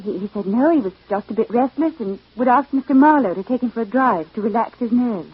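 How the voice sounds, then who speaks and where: Husky, reserved genteel widow. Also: female voice, fairly high pitch, expressive, fairly quick. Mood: composed, sorrowful. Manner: formal, guarded, restrained. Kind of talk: 1940s-50s radio acting. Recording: tinny, phone-like old radio recording.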